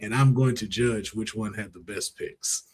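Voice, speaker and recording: An American man hosting a casual podcast, talking in an animated, slangy and profane way. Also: lowish voice, normal speed, low-quality recording. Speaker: podcast host. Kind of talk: casual podcast chat about movies and games.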